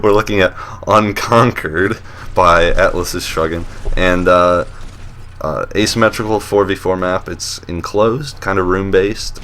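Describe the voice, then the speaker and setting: A man with a deep voice hosting a casual voice-over commentary on gameplay, relaxed and rambling with lots of fillers and restarts, and a dry, self-mocking humor. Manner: dry, joking self-mockery. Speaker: host of a map showcase series.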